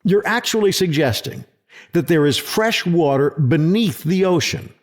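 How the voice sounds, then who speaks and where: A man's voice: on the high side, animated, measured pace. A man playing an incredulous, sarcastic government official who scoffs emphatically in a sci-fi audio drama. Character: exasperated, sceptical male government official, quick to scoff.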